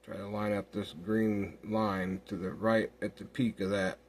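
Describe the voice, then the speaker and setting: A man giving an informal software walkthrough, thinking aloud in a halting way as he works. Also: fairly low pitch, level tone, noisy background. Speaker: software tutorial host. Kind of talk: informal screen-recorded walkthrough.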